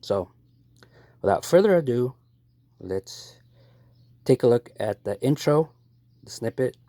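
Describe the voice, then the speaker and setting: A man's voice: medium pitch, lively intonation, slow, warm tone, no echo. A man talking casually to viewers as a video host, close to the mic.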